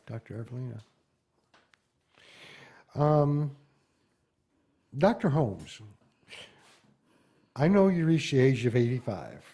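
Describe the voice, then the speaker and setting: A man's voice: mid-range voice, mellow. A man of fifty, interviewing an elder and asking questions hesitantly, with many fillers. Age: 50s. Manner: hesitant, filler-heavy, ad-libbing. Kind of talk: oral history interview.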